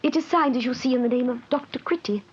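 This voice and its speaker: A woman's voice: high-pitched, fast talker, lightly acted. A poised scheming widow, as an actress plays her. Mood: mournful. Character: scheming widow posing as grieving woman. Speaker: claimant widow. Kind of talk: 1950s radio drama acting. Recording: muffled vintage radio transcription with hiss.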